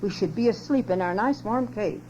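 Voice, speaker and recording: Mama bear, firm but kind, grandma reading, mellow low voice, old tape hum. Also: female voice, normal speed, close to an everyday voice. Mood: motherly. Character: stern but caring mother bear. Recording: lo-fi home cassette tape with hum.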